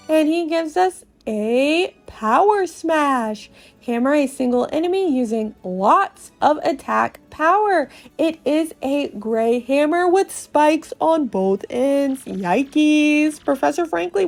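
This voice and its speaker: A woman's voice: high-pitched, gravelly. A woman hosting and narrating a dramatic read-through of a video game. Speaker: podcast host and narrator.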